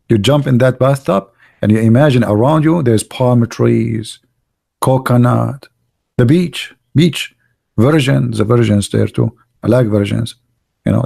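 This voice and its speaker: Middle-aged guy w a gravelly voice, sarcastic rambling commentary, broken non-native english. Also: medium pitch, dark tone. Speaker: online show host.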